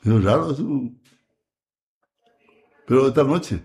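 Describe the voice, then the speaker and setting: A man hosting a radio show in casual chat, relaxed and rambling, full of fillers and restarts. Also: medium pitch, slow pace, creaky.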